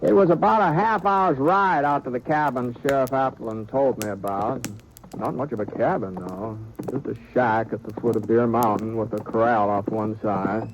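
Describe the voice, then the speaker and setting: An actor playing a reluctant, easygoing western cowhand in an old radio drama, speaking in a halting, folksy, understated way. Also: male voice, medium pitch, music in the background, lightly acted. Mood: dry, observant. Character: reluctant, easygoing western cowhand recounting his small-town troubles. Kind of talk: 1950s radio western acting and first-person narration. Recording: noisy vintage radio broadcast recording.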